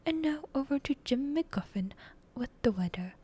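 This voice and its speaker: A woman voicing a formal, quietly amused male TV newscaster reading a bulletin in a storybook. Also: sits high, expressive, normal speed, pitched above the performer's own voice.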